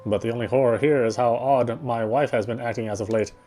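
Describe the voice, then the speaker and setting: A man reading first-person story narration aloud in a theatrical, fussy gentleman's manner. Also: medium pitch, fairly even intonation, fairly quick, slight background noise. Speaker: game player reading aloud.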